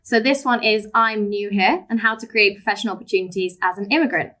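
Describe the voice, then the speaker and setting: A woman's voice: medium pitch, fairly even intonation, fast talker, bright, forceful tone. A woman from the UK moderating a panel discussion, friendly and encouraging, with follow-up questions for each guest.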